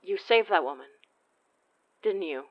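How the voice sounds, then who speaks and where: An actress playing a wary, blunt occult investigator in an audio horror drama, controlled and accusing. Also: female voice, medium pitch, expressive, a little slow, highs cut off. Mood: pressing, accusing.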